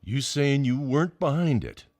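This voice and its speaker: An actor playing a tough, stern police lieutenant who talks bluntly and demandingly in a detective radio play. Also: male voice, mid-range voice, lively intonation, normal speed, darkish tone, dry recording, studio quality, lightly acted. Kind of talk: 1940s radio detective drama acting.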